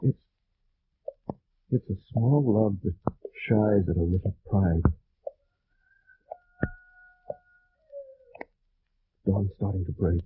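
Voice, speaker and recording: A tinny old radio recording of a noble young lover facing doom. His voice is lowish and very husky, grave and tender in period drama style. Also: unhurried. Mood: grave tenderness. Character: noble, doomed young French gentleman in love. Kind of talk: 1940s radio drama acting.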